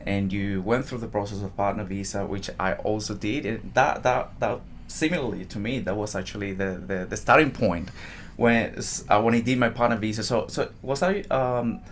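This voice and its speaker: Low-voiced South American host asking questions. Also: male voice, moderate pace, few pauses, slight background noise. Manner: attentive, encouraging, asks follow-up questions. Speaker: podcast host. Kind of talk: conversational podcast interview.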